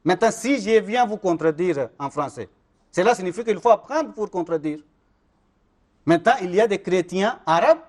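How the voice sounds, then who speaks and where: A man, speaking in a fairly high voice. He argues insistently as an interview guest, circling back to check that he is understood. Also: lively intonation, normal speed, darkish tone. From Pakistan. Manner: insistent, repeats "vous comprenez?". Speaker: Ahmadi missionary guest. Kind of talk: religious interview argument.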